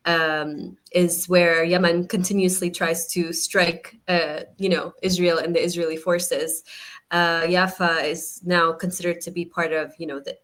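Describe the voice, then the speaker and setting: A woman's voice: fairly low pitch, fairly bright tone. A woman raised in the US, now living in Jordan, answering interview questions hesitantly with uhs and restarts. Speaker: guest artist.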